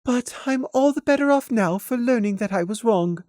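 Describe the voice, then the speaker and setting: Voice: mid-range voice, expressive, normal speed, a little mellow, dry recording, studio quality, voice pushed up, close to an everyday voice. A narrator voicing a refined young mare, a model who speaks with earnest, theatrical self-reproach and resolve.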